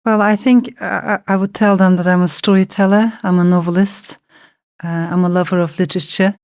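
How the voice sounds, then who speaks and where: A middle-aged woman who grew up in Turkey, speaking English as a later language and answering questions at an unhurried, reflective pace. Her voice sits a little low, and she pauses and restarts as she searches for the words. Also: flat delivery, a little mellow. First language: Turkish. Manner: thoughtful, searching, with frequent fillers and restarts. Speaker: novelist guest.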